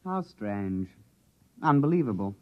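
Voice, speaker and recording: A man's voice: fairly low pitch, sing-song, a little slow. A man playing a stuffy, genteel alien ghost doctor with a British accent and clubby politeness, in 1950s radio drama style. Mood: disdainful. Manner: pompous, clubby old-chap politeness. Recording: dull vintage radio recording.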